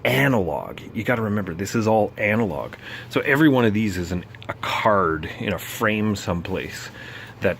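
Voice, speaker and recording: A man giving a casual, unscripted technical walkthrough, halting and thinking aloud as he explains. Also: fairly low pitch, expressive, creaky, a bit bright, a little hiss or hum. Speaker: presenter explaining vintage broadcast gear.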